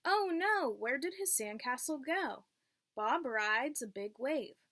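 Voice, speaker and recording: A woman's voice: high-pitched, lively intonation, takes it slowly, sharp tone. A woman reading a picture book aloud to young children, teacher-like, in simple phrases and checking in with questions.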